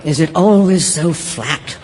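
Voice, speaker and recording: An actor playing an uneasy, melancholy businessman, speaking in halting, brooding fragments in an audio drama. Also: fairly high pitch, darkish tone, a little hiss or hum, natural voice. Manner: halting, brooding, short phrases. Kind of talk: audio stage play dialogue.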